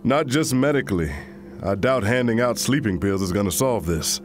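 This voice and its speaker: An actor playing an earnest, troubled colony doctor in an animated sci-fi drama. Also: male voice, on the low side, normal speed, over music, natural voice. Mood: earnest, insistent.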